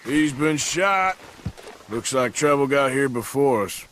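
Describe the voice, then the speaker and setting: An actor playing a gruff, cynical outlaw in a Western video game, with an American Western drawl and a terse, dismissive manner. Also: male voice, medium pitch, animated, slow pace, fairly bright tone, slight background noise. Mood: wary. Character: gruff, cynical adult male American outlaw in the Old West. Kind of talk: dramatic video game acting.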